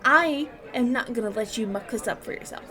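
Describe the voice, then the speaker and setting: A woman playing an excitable, supportive young villager in a fantasy audio roleplay, chatty, teasing and informal. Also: fairly high pitch, a little fast. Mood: excited, encouraging. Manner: gushing, informal, emphatic.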